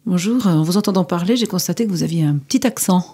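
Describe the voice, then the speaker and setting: A woman interviewer who asks gentle, prompting questions for a language course recording. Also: on the low side, fast, breathy edge.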